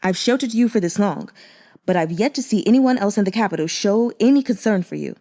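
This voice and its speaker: An aloof young nobleman from an audiobook, acted by a woman, with a mellow timbre. He speaks curtly and accusingly, swinging in pitch with irritation and scorn. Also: lively intonation, brisk, natural voice. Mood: hurt, angry. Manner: curt, cutting, accusatory.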